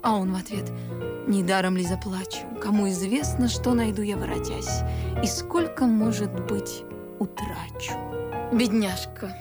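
An actress playing a pert, shrewd young maidservant, chatty and teasing, in a folksy theatrical radio play style. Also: female voice, medium pitch, fairly even intonation, a little slow, lightly acted. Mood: teasing, nostalgic. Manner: theatrical, folksy, deferential yet cheeky. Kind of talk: radio play acting of classic verse comedy. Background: faint music.

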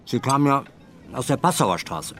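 Polite cajoling senior cop, lively up and down voice, faint clinking. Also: male voice, medium pitch, moderate pace, a little hiss or hum. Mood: coaxing. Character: courteous, cajoling male senior police investigator. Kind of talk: radio drama acting.

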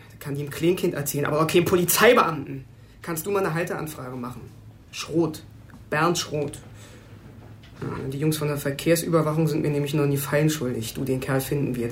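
A man playing a brisk, informal police officer with clipped, matey talk in a radio crime drama. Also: fairly high pitch, a little hiss or hum, close to an everyday voice. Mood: businesslike, determined.

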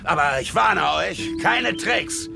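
A man's voice: sits high, lively intonation, slow pace, creaky, full character voice. A man playing a wary, hot-headed Western gunman in a German radio play, terse and commanding. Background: music.

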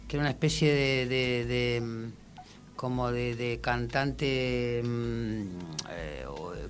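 A man with an Argentine accent reminiscing casually as a radio guest, halting and repeating words as he goes. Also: medium pitch, a little hiss or hum. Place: radio studio.

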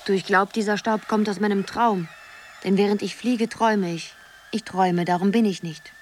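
An actress playing a dreamy, wistful young woman who muses haltingly in a poetic radio drama. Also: mid-range voice, fairly even intonation, slight background noise, close to an everyday voice. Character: Zoe, dreamy young woman drifting through a surreal world.

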